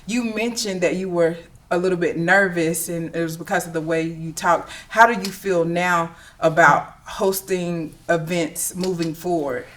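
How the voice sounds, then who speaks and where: A woman's voice: lowish voice, steady tone, unhurried, hardly any pauses. A woman hosting a reality show reunion, asking cast members questions with encouragement and frequent laughter. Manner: warm, encouraging, laughs often. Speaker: reunion host. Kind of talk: casual interview questions.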